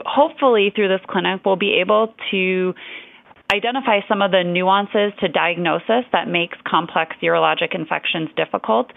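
Casting: woman doctor, earnest explainer, gravelly low voice, dry phone-line sound. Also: no room sound. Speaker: physician. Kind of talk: medical podcast interview. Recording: dry tinny phone-like audio.

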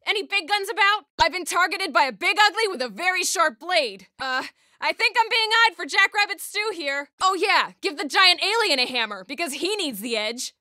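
A woman's voice: very high voice, sharp tone, no room sound, studio quality, exaggerated voice. A woman playing a plucky, cheeky young military scout driver in a video game, giving clipped radio-style calls with wry quips. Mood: sarcastic, indignant. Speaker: game voice actor.

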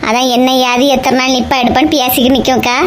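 A woman's voice: high-pitched, little pitch movement, quick pace, slight background noise, natural voice. Drawling, placid young village wife played by a woman. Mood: matter-of-fact. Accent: Kanyakumari Tamil. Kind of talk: comic cartoon dialogue.

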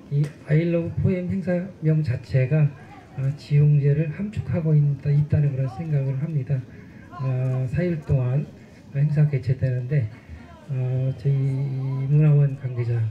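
A middle-aged man speaks at an outdoor festival into a stand microphone, his voice a touch higher than usual for a man. He stops often on hesitant fillers in a formal welcome. The sound is a little muffled, with faint crowd ambience behind him. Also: a little hiss or hum. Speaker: festival organizer giving a speech.

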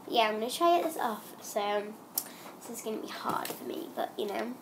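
A woman's voice: fairly high pitch, expressive, brisk, whispered. A young girl vlogging at home, chatting in a rambling way with lots of ums while she has a cold. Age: child. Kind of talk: casual chatty vlog.

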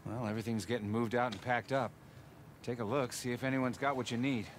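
A man voicing a gruff gate soldier. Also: mid-range voice, steady tone, a little hiss or hum, natural voice. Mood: brisk. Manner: brisk, barked orders. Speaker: game character. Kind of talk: video game cutscene acting.

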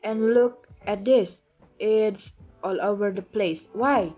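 A young woman plays a wistful farm wife who speaks up loyally for a neighbour. The lines come very slowly and hesitantly, as in amateur drama. The recording is muffled, with music behind. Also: mid-range voice. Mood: puzzled. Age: young adult. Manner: halting, hesitant delivery. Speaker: student actor in a class play. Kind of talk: amateur stage drama dialogue. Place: small curtained room at home. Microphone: phone or laptop at arm's length.

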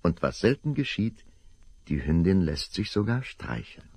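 Deep, expressive man narrating a radio play. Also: noisy background. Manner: measured, expressive.

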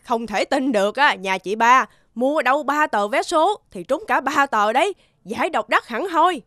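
An actress playing a nosy, judgmental village gossip in an animated tale, exclamatory and gossipy. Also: female voice, sits high, normal speed, no room sound, clean studio sound. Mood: excited, gossipy. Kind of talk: animated moral-tale dubbing. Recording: music behind the voice.

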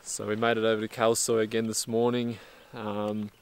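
A young man talking to a handheld camera in a relaxed, enthusiastic, casual travel-vlog style, outdoors and in a car. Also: fairly low pitch, moderate pace, background noise. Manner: relaxed, enthusiastic, chatty with fillers. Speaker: travel vlogger. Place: outdoors on windy cliffs and inside a car. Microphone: camera-mounted mic, held at arm's length.

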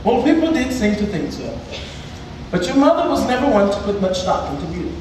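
A man's voice: sits high, very animated, fairly quick, noisy background. Sassy wise fairy godfather teen guy acting, stage musical, super echoey hall, mic way far back. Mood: wise, coaxing. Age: teens, 17. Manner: sassy, theatrical. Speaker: student stage actor and singer. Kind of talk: musical theatre stage dialogue. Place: large indoor theatre stage, distant camera. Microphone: far camera.

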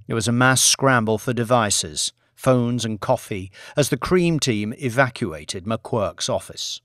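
A man's voice: medium pitch, animated, unhurried, fairly bright tone. A man reading scripted fiction as a dramatic audiobook narrator.

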